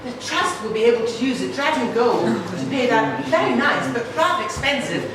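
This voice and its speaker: A woman's voice: a little high, lively intonation, fairly quick. A woman voicing a chatty puppet character in a live puppet show. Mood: eager, breezy. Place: live puppet theatre show, far from mic. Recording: very echoey hall, distant mic, loud noise.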